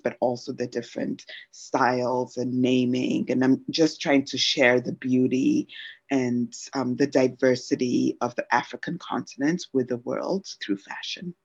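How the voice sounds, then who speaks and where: A woman's voice: extremely low pitch, darkish tone. Chatty woman on dull lo-fi audio. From Democratic Republic of Congo. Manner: chatty, many fillers like um and you know. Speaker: fashion brand founder, guest. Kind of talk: casual interview answers.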